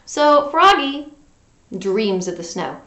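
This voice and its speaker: A woman teacher talking directly to young children in an animated, conversational read-aloud lesson style. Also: fairly high pitch, slow pace. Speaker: music teacher and storyteller for children. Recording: roomy, echoing home recording.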